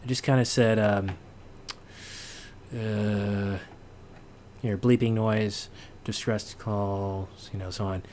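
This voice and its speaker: Guy with a lowish voice walking thru his sound design, unsure, thinking out loud, bit of background noise. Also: fairly even intonation, slow pace. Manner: hesitant, thinking aloud, throat-clearing. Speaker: sound designer presenting a tutorial. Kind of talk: casual screen-recorded walkthrough.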